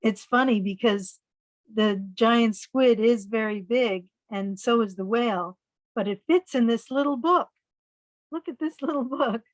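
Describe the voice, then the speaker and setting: A woman storyteller reading picture books aloud to young children, pausing to ask them simple questions. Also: fairly high pitch, no echo.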